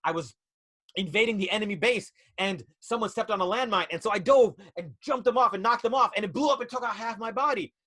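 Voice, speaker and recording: A desperate wounded soldier, as a man acts him out, who pushes his words out in strained, urgent bursts. His voice is pitched a little high and loud, like a shouted war-movie parody. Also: fast talker. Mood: shouting.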